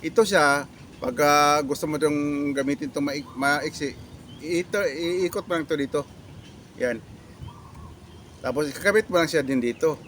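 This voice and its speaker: A man's voice: on the high side, a bit bright. Filipino man vlogging over background noise. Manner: repetitive, halting with catchphrases. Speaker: product reviewer. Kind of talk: casual product demo vlog.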